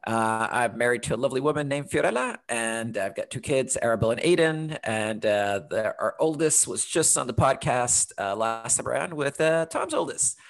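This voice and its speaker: A man's voice: medium pitch, lively intonation, vocal fry. Friendly male podcast co-host from Honduras. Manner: friendly, quick storytelling with fillers. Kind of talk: casual podcast chat.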